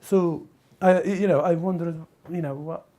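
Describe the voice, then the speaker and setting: A man from the Middle East or North Africa talking casually and reflectively, haltingly searching for words. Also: on the high side, fairly even intonation, mellow. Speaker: documentary interviewee.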